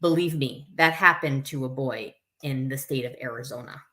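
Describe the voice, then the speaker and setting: A woman's voice: very deep voice, normal speed, bright, forceful tone. A woman hosting a story time, reading a picture book aloud expressively with informal, chatty asides to her listeners. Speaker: camp staff story time host.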